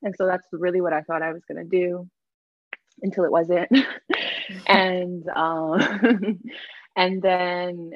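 A woman's voice: lowish voice, fairly even intonation, slow pace, pauses often, dry recording, dull audio. A woman from New York, born in Jamaica, talking casually as an interview guest and looping back over her phrases in an informal, rambling way. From Jamaica-born, raised in Yonkers and Mount Vernon, New York. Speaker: podcast guest, travel writer.

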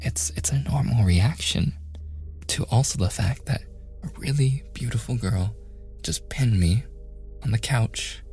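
A man playing a shy, awkward young man in a boyfriend ASMR roleplay, softly stammering and often slipping into whispers. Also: medium pitch, measured pace, soft background music, natural voice. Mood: flustered, smitten. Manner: hesitant, stammering, self-deprecating joking.

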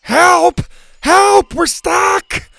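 Man acting a high-pitched, frightened young boy. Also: extremely slow, vocal fry, bright, forceful tone, no echo, exaggerated voice. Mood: frightened, urgent. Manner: urgent, exclamatory. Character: frightened, excitable young boy on a dinosaur adventure.

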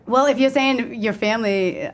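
A woman's voice: fairly high pitch, moderate pace. A woman guest on a casual talk show, giving encouraging, coach-like advice. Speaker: meditation guide guest. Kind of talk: casual chat.

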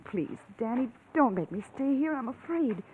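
A woman's voice: high-pitched, animated, normal speed. Anxious young woman, tinny old radio. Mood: pleading. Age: young adult. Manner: earnest, anxious. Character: frightened, pleading young woman desperate to escape. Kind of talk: old-time radio drama acting. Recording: tinny, noisy vintage radio recording.

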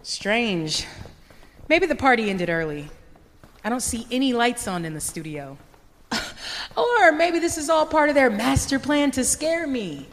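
A woman's voice: on the high side, big pitch swings, unhurried. A woman voicing a fed-up late-night radio host who talks straight to her listeners. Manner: sarcastic, talking straight to listeners.

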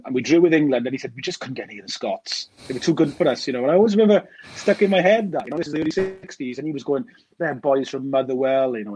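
A man's voice: fairly high pitch, a little mellow, breathy edge, no room sound, muffled recording. A Welsh man in his forties, a documentary director, talking casually in a podcast interview and rambling with many fillers and restarts. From Wales. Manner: fast, rambling storyteller with lots of fillers and restarts.

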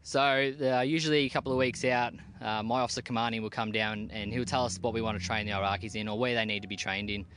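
A young Australian man, an army team leader, answering interview questions outdoors in a plain-spoken, relaxed way with casual slang. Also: lowish voice, fast, few pauses, noisy background. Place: outdoors at a military base.

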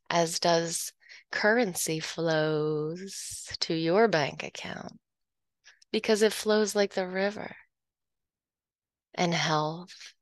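A woman leading a guided hypnosis meditation, speaking in a slow, soothing, hypnotic way and dropping softly into whispers. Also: fairly low pitch, dry recording, clean studio sound.

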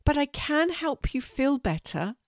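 A woman voicing a kind young girl who speaks gently and soothingly. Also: high voice, sing-song, a little slow, no echo, studio quality, voice pushed up, full character voice. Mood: gentle.

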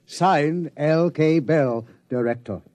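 Earnest astronomer, formal, precise, soft-edged mellow voice, slightly high, classic radio drama. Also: male voice, slow. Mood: formal, grave. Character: earnest, formal astronomer, anxious scientific gentleman. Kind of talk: old-time American radio drama acting.

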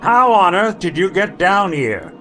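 An actor playing a wry, plain-spoken detective in a radio drama, with a dry and down-to-earth manner. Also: male voice, high-pitched, very animated, unhurried, sharp tone, faint music behind, heavily acted. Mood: surprised.

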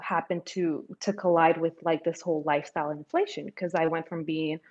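A woman from the Dominican Republic raised in New York, a guest talking about money in an animated, casual way with quick bursts and frequent laughs. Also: deep voice. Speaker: guest, personal finance creator. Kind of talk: casual interview chat. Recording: dull lo-fi remote audio.

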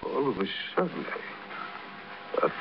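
An actor playing a big, childish, bragging hoodlum who is wounded and dying, in an old radio crime drama. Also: male voice, unhurried, lightly acted. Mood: bitter, fading. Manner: taunting, rambling, repeats himself. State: wounded, labored speech. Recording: muffled, noisy vintage radio recording.

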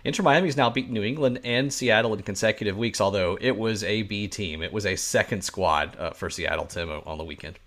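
A man hosting a soccer talk show, leading the rundown and moving from topic to topic with steady segues. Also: lowish voice, a little fast, few pauses. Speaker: soccer podcast host. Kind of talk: sports talk, running the rundown.

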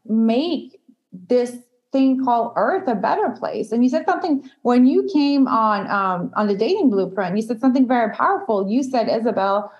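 A chatty, opinionated woman whose first language is French, with a fairly high voice. She talks casually in an interview, full of fillers and light laughs. The recording sounds dull and lo-fi. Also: lively intonation, moderate pace, a little mellow. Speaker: guest, dating content creator. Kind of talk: casual podcast conversation.

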